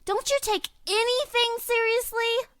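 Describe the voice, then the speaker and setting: A woman's voice: very high-pitched, steady tone, measured pace, a bit bright, dry recording, clean studio sound. A woman voicing a bossy, hot-tempered teenage magical-girl heroine in a parody audio drama, scolding and exasperated.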